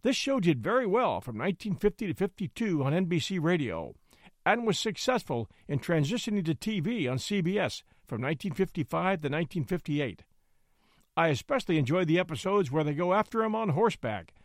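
Lively, high-voiced podcast host man. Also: a little fast, no room sound, clean studio sound. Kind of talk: casual scripted intro.

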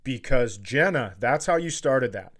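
Teasing man with a medium-pitched voice. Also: lively intonation, measured pace. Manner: teasing, blunt, profane interjections. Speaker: podcast co-host. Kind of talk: casual comedic banter.

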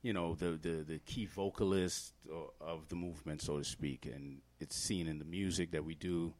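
A man's voice: very deep voice, fairly even intonation, normal speed, darkish tone. A man from Trinidad and Tobago, a guest hip-hop artist, explaining earnestly in a casual podcast interview with many fillers.

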